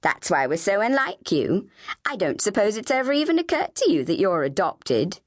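A woman's voice: mid-range voice, very animated, moderate pace, dry recording, clean studio sound, natural voice. A woman voicing a haughty, cruel teenage older sister in an audiobook, disdainful and taunting. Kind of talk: audiobook character dialogue.

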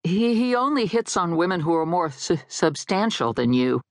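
A woman's voice: fairly low pitch, big pitch swings, moderate pace, no echo, clean studio sound, pitched above the performer's own voice. A woman voicing a shy, awkward young male ghost from a novel. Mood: flustered. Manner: hesitant stammer on first sounds.

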